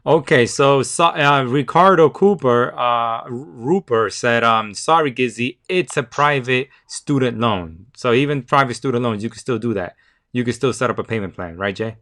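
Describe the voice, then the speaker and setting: A man's voice: mid-range voice, moderate pace, a bit bright. A man from El Salvador hosting a live credit podcast, reading out viewers' questions in an upbeat way. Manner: reads out listener questions, upbeat. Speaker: credit repair host.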